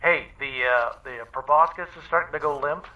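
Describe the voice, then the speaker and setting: A man's voice: fairly high pitch, moderate pace, muffled recording, close to an everyday voice. A man playing an anxious, wry spaceship crew member in a sci-fi comedy audio drama. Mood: nervous.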